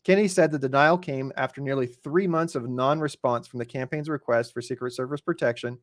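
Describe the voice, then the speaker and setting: A man's voice: mid-range voice, no room sound, clean studio sound. An American man giving conversational news commentary as a podcast host, explaining things methodically as he goes. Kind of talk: conversational news commentary, reading and dissecting an article.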